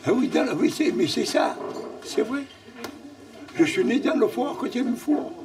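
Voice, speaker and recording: A man's voice: very high-pitched, expressive, normal speed, darkish tone, slightly rough, far from the mic. A man with a Marseille accent, a restaurant owner talking casually in an interview and repeating himself. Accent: Marseille French. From Marseille, France.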